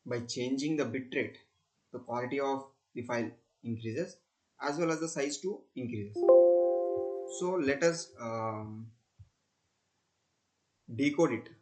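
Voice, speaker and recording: A young man with an Indian accent giving a technical demonstration as a student presenter, explaining haltingly with repeated phrases. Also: mid-range voice, faint music behind, poor audio. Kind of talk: screen-recorded technical demonstration.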